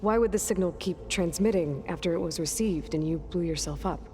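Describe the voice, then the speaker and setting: An actress playing a young, inquisitive, determined hunter in a video game, speaking in a direct, conversational way. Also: female voice, on the low side, fairly quick, warm tone, a little hiss or hum, natural voice. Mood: puzzled. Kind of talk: scripted video game dialogue.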